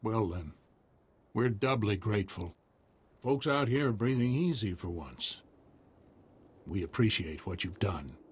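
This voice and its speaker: A man voicing a humble, cautious frontier militia leader in a video game, husky and folksy. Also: fairly low pitch, lively intonation, a little slow, pauses often, exaggerated voice. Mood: relieved, grateful.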